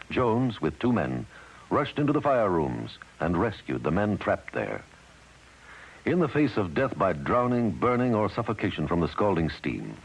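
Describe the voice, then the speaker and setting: Very deep, husky American male narrator. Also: poor audio. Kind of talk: solemn scripted narration. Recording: vintage radio broadcast.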